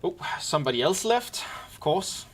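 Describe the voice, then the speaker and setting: A man live-streaming, gently and casually explaining game mechanics to his squad with wry asides. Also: on the high side, very animated, normal speed. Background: faint keyboard typing and clicks.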